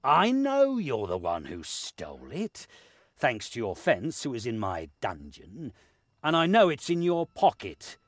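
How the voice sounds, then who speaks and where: A man playing a shrewd, commanding war leader in a fantasy game, measured and authoritative. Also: mid-range voice, wildly swooping pitch, no room sound. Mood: calm command.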